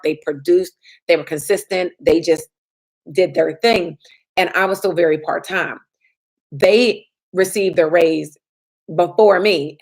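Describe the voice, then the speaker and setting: A woman insurance agent and trainer answering viewer questions in a chatty live stream, her voice a little raspy from little sleep. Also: fairly low pitch, low-quality recording. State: slightly raspy from lack of sleep.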